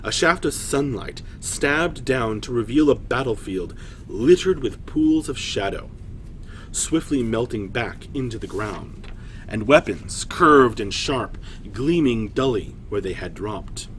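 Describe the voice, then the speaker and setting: A man narrating a scripted fantasy story dramatically, with deliberate pauses. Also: mid-range voice, lively intonation, a little hiss or hum, low-quality recording.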